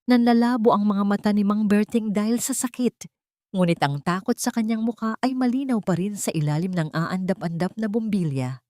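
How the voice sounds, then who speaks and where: A woman with a Filipino accent narrating a scripted story in an expressive, melodramatic way. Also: mid-range voice, moderate pace, no echo, clean studio sound. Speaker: audiobook narrator.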